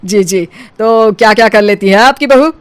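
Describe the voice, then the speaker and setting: A woman's voice: mid-range voice, moderate pace, clear, resonant tone, close to an everyday voice. A woman voicing a nosy, smug middle-aged male neighbour in a cartoon story, ingratiating and teasing. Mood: flattering, curious. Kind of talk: cartoon story character acting.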